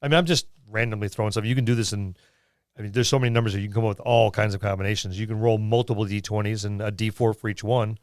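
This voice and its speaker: A man's voice: fairly low pitch, fairly even intonation, very fast, dry recording, clean studio sound. A man hosting a casual tabletop role-playing podcast, talking in a rambling way and explaining things through examples. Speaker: podcast host and experienced game master.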